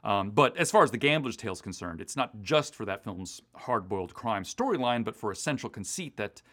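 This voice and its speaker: Animated man chatting about his creative work. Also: fairly low pitch, brisk. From US East Coast. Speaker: audio drama writer being interviewed. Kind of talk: casual, detailed behind-the-scenes chat.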